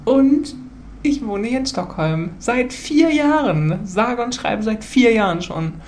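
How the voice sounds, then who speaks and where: A woman's voice: on the high side, expressive, takes it slowly, darkish tone, slight background noise. A woman in her early thirties from northern Germany, chatting casually as a podcast co-host, with a giggly way of talking. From Hanover, northern Germany. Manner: giggly, laughs through her talk. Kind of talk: casual chat between two co-hosts.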